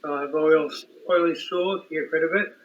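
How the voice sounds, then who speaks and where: A man's voice: fairly high pitch, steady tone, normal speed, muffled recording. A man, a guest's father, explaining plainly in casual chat.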